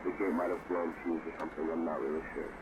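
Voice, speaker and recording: An actor playing a procedural park maintenance worker talking matter-of-factly over a noisy two-way radio in a horror tape. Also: male voice, very deep voice, little pitch movement, fast talker. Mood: procedural, troubled. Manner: matter-of-fact, technical, procedural.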